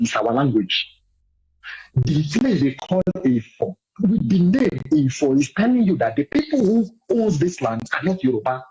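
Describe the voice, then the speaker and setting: A man's voice: a little high, dark tone, husky, highs cut off. A man making an impassioned, insistent speech, repeating his points for emphasis, heard as a played clip.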